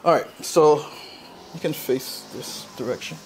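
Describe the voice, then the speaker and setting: A man's voice: on the high side, unhurried. A middle-aged man working as a chiropractor, casually instructing a patient and checking in as he goes. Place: spacious indoor treatment studio. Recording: noisy room recording.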